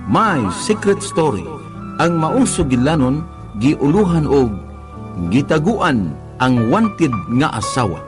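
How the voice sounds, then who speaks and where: A man with a Visayan Filipino accent making a scripted announcement as a radio drama announcer. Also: a little high. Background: music.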